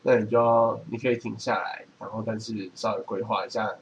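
A man's voice: fairly low pitch, flat delivery, a little fast, muffled recording, slight background noise. A man with a Taiwanese accent chatting casually as a co-host, explaining things and giving advice. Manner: explanatory, advising. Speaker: podcast co-host. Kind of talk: casual chat.

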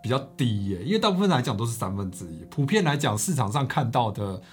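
A man's voice: medium pitch, big pitch swings, fast, faint music behind. A man with a Taiwanese accent chatting as a podcast co-host, explaining things talkatively with examples. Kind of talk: casual discussion of personal finance.

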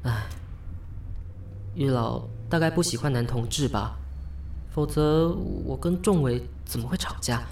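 A man's voice: medium pitch, measured pace, long pauses, a little hiss or hum, lightly acted. An actor playing a polite, flustered, sentimental young man in a Taiwanese Mandarin radio drama, with sighs and hesitant interjections. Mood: wistful, chatty. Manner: expressive, sighing, hesitant interjections. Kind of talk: Mandarin radio drama acting with inner monologue. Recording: studio voice over background music. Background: background music.

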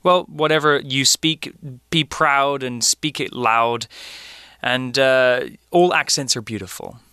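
Male co-host for a language lesson, bright, dry studio voice. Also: medium pitch, takes it slowly, smooth, no echo. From Britain. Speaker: English teacher co-host. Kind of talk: radio English lesson.

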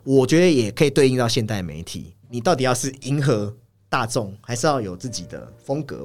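A man co-hosting a podcast, casually discussing film in an analytical way and often repeating his agreement in quick strings. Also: mid-range voice. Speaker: podcast co-host. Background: background music.

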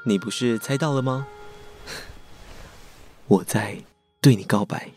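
A man's voice: medium pitch, slow pace, darkish tone, faint music behind, close to an everyday voice. A man playing a cheerful, persistent teenage schoolboy with a crush in a radio drama, speaking with a Taiwanese accent in a playful, earnest way. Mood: tender, earnest. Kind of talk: Mandarin boys' love radio drama acting.

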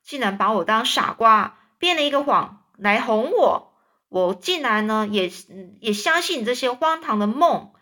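A woman's voice: high-pitched, expressive, normal speed, pushed and bright. A woman doing an indignant scolding aunt.

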